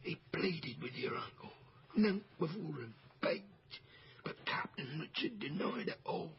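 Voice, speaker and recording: An actor playing a deferential servant in a radio drama, a theatrical and confiding teller of dark family secrets. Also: male voice, expressive, a little slow, creaky. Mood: grave, dramatic. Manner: theatrical, confiding, hushed to booming. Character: deferential, gossiping male household servant, darkly dramatic storyteller. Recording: dull, noisy radio drama recording.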